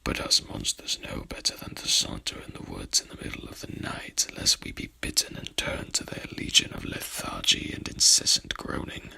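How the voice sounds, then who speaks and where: A courtly, pompous monster horseman, played by a man, murmurs in an ASMR roleplay. His voice is extremely low and heavily gravelly, breathy and half-whispered, with sharp sibilant edges. He phrases everything in formal, archaic, wordy sentences. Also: moderate pace, few pauses, heavily acted, poor audio. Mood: rambling, calm.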